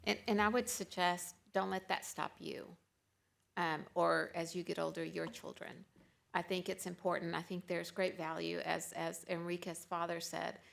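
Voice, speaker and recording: A woman's voice: lowish voice, gravelly. A woman moderating a panel discussion, encouraging and affirming.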